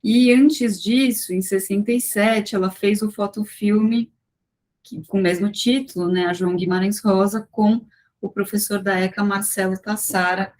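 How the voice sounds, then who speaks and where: Mulher em palestra acadêmica, pausada. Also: female voice, mid-range voice, steady tone, darkish tone. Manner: measured, with many fillers and restarts. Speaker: guest lecturer. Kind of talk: academic talk with slides.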